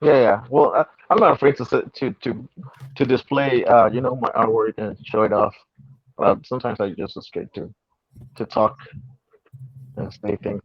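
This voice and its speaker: A soft-spoken man from Mexico City with a Mexican Spanish accent, a native Spanish speaker answering hesitantly with fillers over a video call. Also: medium pitch, fairly even intonation, moderate pace, pauses often, slightly rough, no room sound. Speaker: guest illustrator. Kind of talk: interview answers. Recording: muffled, dry video call audio.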